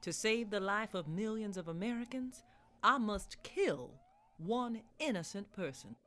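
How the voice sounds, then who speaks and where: A woman's voice: mid-range voice, expressive, unhurried, fairly bright tone. Melodramatic madam President, played by a woman, swooping voice. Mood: solemn, grave. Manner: solemn, grandiose, theatrical. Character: earnest, melodramatic woman President under nuclear attack. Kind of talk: semi-comedic radio play acting.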